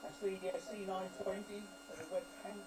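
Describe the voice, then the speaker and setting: A man chatting casually and a little haltingly over an amateur television radio link. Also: high-pitched, little pitch movement, crowd noise, low-quality recording. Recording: clear mains hum over radio link.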